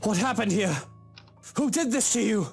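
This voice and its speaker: A man's voice: high-pitched, lively intonation, moderate pace, creaky, breathy edge, exaggerated voice. Protective young man, music behind. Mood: alarmed, concerned. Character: protective, concerned young man in a horror game. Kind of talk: visual novel voice acting. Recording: game audio under loud music.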